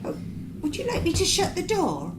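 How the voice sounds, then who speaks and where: Lively, higher-voiced woman storyteller in an echoey room. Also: normal speed. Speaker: writer reading her own comic short story. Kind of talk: live comic monologue reading in character. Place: indoor performance venue. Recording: echoey live venue recording with background noise.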